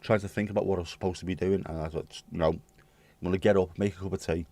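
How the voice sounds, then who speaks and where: A hoarse man with a British accent, chatting casually with viewers on his livestream and swearing bluntly. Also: low-pitched, steady tone, fast, darkish tone, no room sound. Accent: British English. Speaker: livestream host. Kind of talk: casual chat reading viewer comments.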